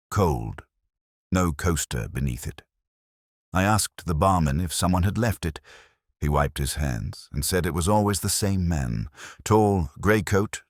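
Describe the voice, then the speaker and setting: Very deep-voiced man narrating a detective story. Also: dry recording, studio quality. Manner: measured, literary, reflective delivery. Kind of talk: first-person scripted detective story narration.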